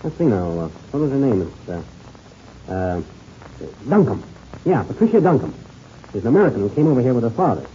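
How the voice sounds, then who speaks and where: Guy playing excitable hearty pilot, huge pitch swings, muffled hissy old radio drama. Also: a little fast, pauses often, natural voice. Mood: thoughtful recall. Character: hearty, excitable adult American pilot, companion to a boy. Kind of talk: old-time radio adventure serial acting.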